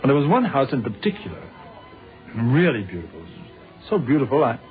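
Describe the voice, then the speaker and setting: An actor playing a polite, probing British police inspector in a radio drama, with a measured, formal manner. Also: male voice, medium pitch, big pitch swings, lightly acted. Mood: reflective. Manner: measured, courteous, formal questioning. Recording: thin phone-like radio sound, faint music.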